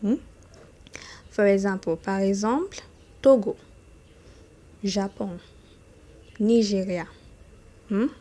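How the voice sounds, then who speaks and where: A woman teaching a beginner French lesson, saying each phrase slowly and more than once and checking in with "okay?" and "hmm?". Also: medium pitch, warm tone.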